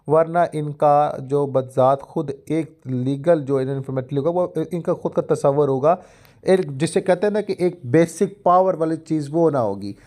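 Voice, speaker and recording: Mellow Pakistani man giving a legal lecture. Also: medium pitch. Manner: formal, didactic, mixes in English legal terms. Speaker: law lecturer. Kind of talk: explanatory legal lecture, part read from notes.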